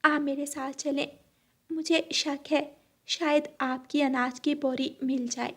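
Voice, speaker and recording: Woman as a high, soft-spoken mother sparrow. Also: flat delivery, slow pace. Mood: pleading. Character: gentle polite mother sparrow. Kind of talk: Hindi cartoon story voice acting.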